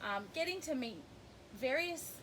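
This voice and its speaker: A woman's voice: sits high, animated, a little slow, a bit bright. A woman with a mixed New Zealand and Australian accent, a guest in a casual interview, bubbly and rambling, laughing often. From New Zealand, raised in Australia. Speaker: boudoir photographer, guest. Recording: noisy background.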